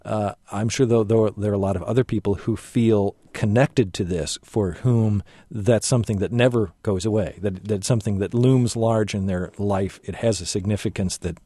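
A man hosting a radio interview, asking questions in a measured, conversational way. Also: on the low side, steady tone, mellow, slightly rough, dry recording. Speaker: radio host.

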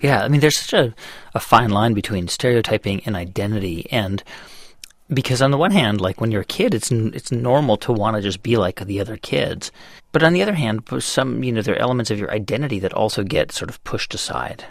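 A male radio host narrating a scene and asking interview questions in a lively, storytelling way. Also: on the low side, a little fast, vocal fry.

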